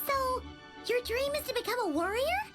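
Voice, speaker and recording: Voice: very high voice, lively intonation, moderate pace, over loud music. An actor voicing a small, childlike, eager companion character in a video game. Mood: curious, eager.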